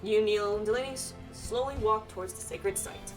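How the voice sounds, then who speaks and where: A woman's voice: mid-range voice, normal speed, recorded from a distance, over music, low-quality recording. A woman streaming a game playthrough with friends, reading on-screen text aloud and adding her own asides.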